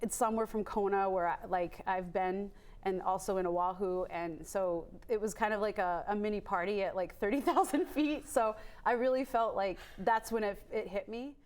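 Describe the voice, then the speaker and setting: A woman's voice: mid-range voice, moderate pace, a little mellow. A woman from Maine, a free diver, telling her story in a casual interview, chatty and rambling with many fillers. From Maine, USA.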